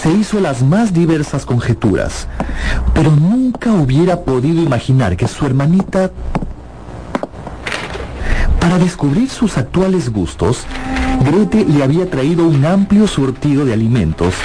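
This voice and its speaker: A man narrating a dramatised radio audiobook in a theatrical, expressive storytelling manner. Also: on the high side, normal speed, noisy background.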